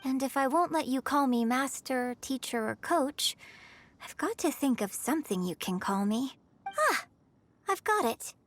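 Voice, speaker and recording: A woman playing a graceful young opera performer in a video game, speaking in a poised, articulate, gently instructive way. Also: sits high, lively intonation, unhurried, slight background noise. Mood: playful. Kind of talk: scripted video game character dialogue. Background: music.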